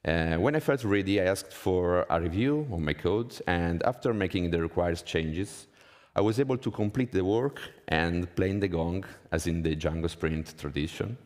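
A man in his thirties with an Italian accent gives a prepared conference talk in non-native English, his delivery measured. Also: low-pitched, darkish tone. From Italy. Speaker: conference speaker. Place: conference hall stage, indoors. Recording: reverberant conference hall.

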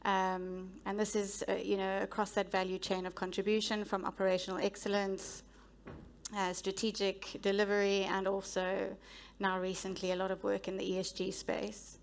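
South African businesswoman, flat measured delivery. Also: medium pitch, slight background noise. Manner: measured, businesslike, with frequent ums. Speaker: guest speaker, head of procurement. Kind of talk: on-stage interview. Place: stage of a large conference hall. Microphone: headset mic.

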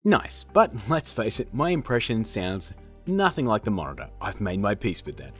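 A man's voice: fairly low pitch, huge pitch swings, moderate pace, fairly bright tone. A man, hosting a casual tutorial in a chatty, self-deprecating way. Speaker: host of tutorial videos. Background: background music.

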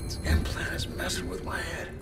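An actor playing a wary, exasperated cargo pilot in a sci-fi video game, defensive and agitated. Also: male voice, normal speed, over loud music, natural voice. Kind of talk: naturalistic video game cutscene acting.

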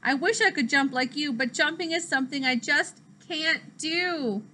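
A woman's voice: sits high, normal speed, sharp tone, a little hiss or hum. A woman voicing a friendly young male platypus, an earnest newcomer in a storybook. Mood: wistful.

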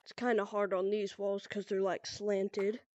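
A woman's voice: medium pitch, level tone, measured pace, darkish tone, muffled recording. A young woman with a stuffy nose from a cold, loosely explaining a VR game in a casual tutorial. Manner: loose and rambling, heavy on "pretty much" and "like". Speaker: VR gaming tutorial creator.

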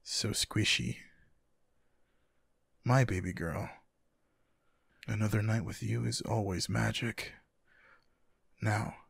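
A man playing a tender, teasing, sleepy lover in an intimate boyfriend ASMR roleplay, speaking softly and affectionately in a husky, gravelly voice. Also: on the low side, steady tone, dry recording, heavily acted. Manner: soft, affectionate, unhurried. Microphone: close microphone, dry.

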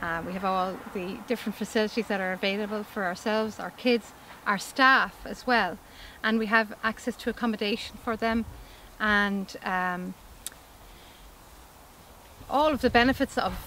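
A woman's voice: medium pitch, steady tone, moderate pace, bright, forceful tone, a little hiss or hum, rough recording. A woman in her forties from Ireland, an organic farmer talking upbeat and chatty in an outdoor interview, often restarting her sentences. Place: outdoors in a vegetable field. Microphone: camera mic, standing interview.

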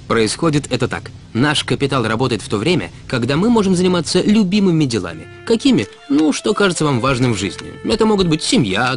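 A male narrator delivers a scripted explainer with lively, varied intonation at a medium pitch. His delivery is measured and instructive, with deliberate pauses, and faint music plays underneath. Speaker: narrator of an educational cartoon about personal finance. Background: background music.

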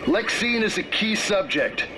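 A man's voice: sits high, animated, a little slow, over music. A man playing a cold, duty-bound soldier turned adversary in a video game, speaking sternly and insistently. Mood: stern, resolute. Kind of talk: dramatic game voice acting. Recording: very noisy, radio-like game ambience.

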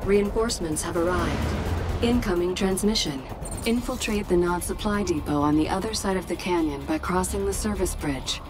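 An actress voicing an impersonal battle computer that gives neutral, clipped announcements in a strategy game. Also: female voice, lowish voice, normal speed, lightly acted. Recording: game music and vehicle sounds behind.

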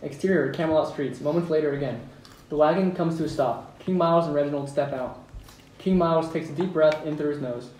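Guy narrating a script table read, bit high voice, echoey and far from mic. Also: a little fast, darkish tone. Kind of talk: table read of stage directions. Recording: echoey room, distant mic, background noise.

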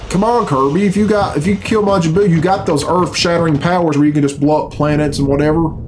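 A man's voice: fairly high pitch, steady tone, fast talker, noisy background. A middle-aged man giving casual, unscripted reaction commentary in a blunt, sweary, bemused way, recorded at a computer in a room at home. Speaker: reaction video host. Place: small room at home, indoors. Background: game cutscene music.

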